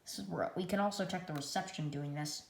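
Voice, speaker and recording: An American woman giving a casual hands-on product demonstration, halting and often trailing off to restate herself. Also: lowish voice, creaky. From United States. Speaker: product reviewer. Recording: echoey room, loud noise, clicks.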